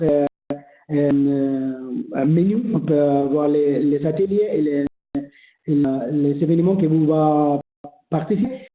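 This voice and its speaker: A man who is not a native French speaker, presenting a webinar and explaining online registration, hesitant with many fillers and restarts. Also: a little high. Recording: echoey phone-like webinar audio.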